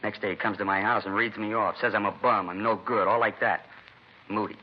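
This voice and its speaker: An actor playing an evasive, streetwise seventeen-year-old American delinquent in slangy, grudging radio drama dialogue. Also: male voice, on the low side, steady tone, brisk, close to an everyday voice. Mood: evasive, defensive. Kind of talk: 1950s radio drama acting. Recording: dull, noisy vintage radio recording.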